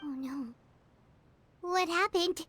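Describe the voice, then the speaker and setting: A woman voicing a small, childlike fairy companion in a video game, squeaky, earnest and inquisitive. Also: slow pace, fairly bright tone, slight background noise, full character voice. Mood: concerned. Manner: inquisitive, earnest, wide-eyed. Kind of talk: cartoonish video game acting. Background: music.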